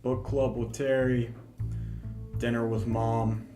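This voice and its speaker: A man's voice: mid-range voice, little pitch movement, slow, close to an everyday voice. A man playing an eager, self-pitying small-town police detective who talks to himself in an amateur noir radio drama. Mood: brooding, wistful. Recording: roomy echo, music behind.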